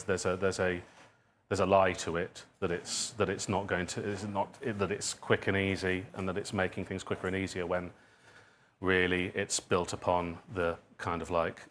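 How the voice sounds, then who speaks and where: Deep voiced guy at a live q&a, halting and circling his phrases, bit of room noise behind. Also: fairly bright tone. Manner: halting, circling and repeating phrases, chuckles at own remarks. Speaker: speaker, writer of audio drama. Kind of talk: conversational public talk answering audience questions. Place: indoor public event venue.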